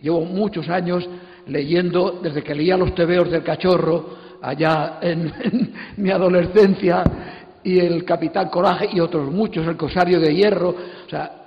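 High-voiced older man, emphatic, in a hall. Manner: emphatic, opinionated. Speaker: invited panel speaker, book editor. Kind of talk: informal conference talk. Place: stage in an auditorium. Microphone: handheld microphone. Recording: muffled, long hall reverb.

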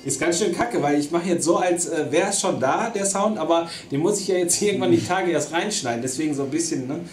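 A man in his thirties co-hosting a casual chat, excitable and teasing, with slangy outbursts and frequent laughter. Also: on the high side, brisk, echoey room, slight background noise. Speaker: co-host. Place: small hobby workshop indoors.

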